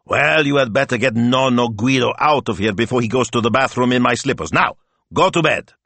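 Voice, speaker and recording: A man voicing a grumpy, theatrical, gruffly affectionate grandfather in an audiobook story, blustering with indignant questions. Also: medium pitch, big pitch swings, moderate pace, pushed and bright, no room sound, pitched above the performer's own voice, heavily acted. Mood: grumbling.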